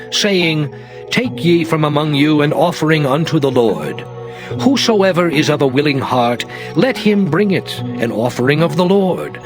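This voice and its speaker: An actor playing Moses, a stern aged prophet, declaiming commandments in a solemn, measured way over music. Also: male voice, a little high. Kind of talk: dramatized scripture reading.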